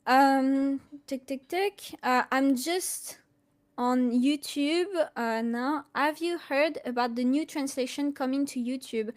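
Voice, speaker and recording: A woman from France with a French accent, answering questions casually on a live stream and haltingly thinking aloud as she goes. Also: high voice. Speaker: colorist hosting a live Q&A.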